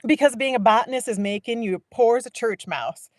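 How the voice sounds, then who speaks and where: A woman voicing a lively, stern, sarcastic woman talking on the phone, loud and scolding. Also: fairly high pitch, a little fast, no echo, pitched above the performer's own voice, close to an everyday voice. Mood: bossy.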